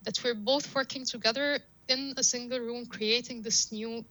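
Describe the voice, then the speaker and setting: A woman's voice: fairly high pitch, steady tone, moderate pace, sharp tone, dry recording, poor audio. A woman whose first language is Arabic, a guest on a podcast, explaining her ideas with examples and repeated phrases. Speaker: guest data scientist. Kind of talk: podcast interview.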